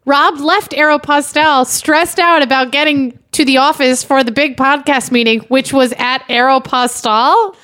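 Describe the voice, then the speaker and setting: High-pitched female podcast guest, casual banter and read-through delivery. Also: steady tone, moderate pace, hardly any pauses, pushed and bright. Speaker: podcast guest reading stage directions.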